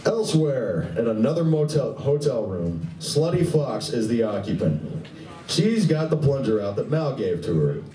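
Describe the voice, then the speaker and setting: A man's voice: medium pitch, very animated, normal speed, warm tone. A man reading scripted narration for a live radio play, recorded in a room. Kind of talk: scripted stage-direction narration. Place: live convention performance room. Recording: echoey room, distant mic, faint noise.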